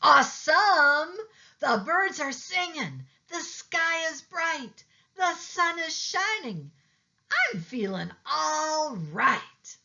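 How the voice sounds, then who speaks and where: A woman voicing an excitable squirrel in a children's storybook, with drawn-out, exclamatory delivery. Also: high voice, creaky, muffled recording, pitched above the performer's own voice, exaggerated voice. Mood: delighted. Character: mad squirrel who turns delighted, high and loud.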